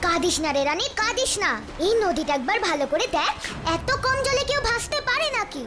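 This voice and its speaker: Brave, squeaky-high little ghost girl. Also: big pitch swings, a little fast. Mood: comforting. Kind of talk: cartoon voice acting. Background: music.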